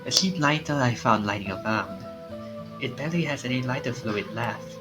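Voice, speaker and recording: A man's voice: mid-range voice, normal speed. A man reading visual novel dialogue aloud in a theatrical way as he streams a game. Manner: acts out the lines theatrically. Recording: game music behind the voice. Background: video game music.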